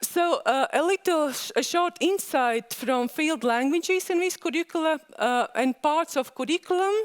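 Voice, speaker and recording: High-voiced woman. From Latvia. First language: Latvian. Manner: halting non-native phrasing, frequent "uh" pauses. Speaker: conference speaker. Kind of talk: academic conference presentation.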